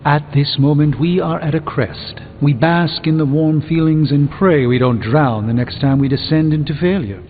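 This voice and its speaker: An actor playing a thoughtful, weary sorcerer mentor in a video game, speaking in a measured, eloquent way. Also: male voice, fairly high pitch, slight background noise, close to an everyday voice. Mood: reflective, hopeful. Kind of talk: video game character dialogue. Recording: muffled phone-like band, long reverb, music behind.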